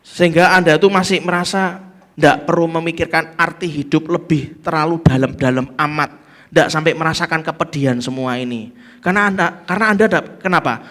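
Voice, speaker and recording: A man's voice: fairly high pitch, brisk, fairly bright tone. A man with a Javanese accent preaching a sermon as pastor, emphatic, calling the congregation to say amen and slipping into local phrases.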